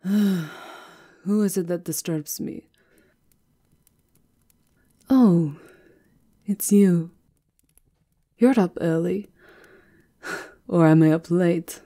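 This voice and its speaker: A woman acts out an elegant female vampire countess for an ASMR roleplay. Her voice is lowish and mellow, and she speaks very slowly and intimately, with a seductive, teasing languor. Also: full character voice. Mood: languid.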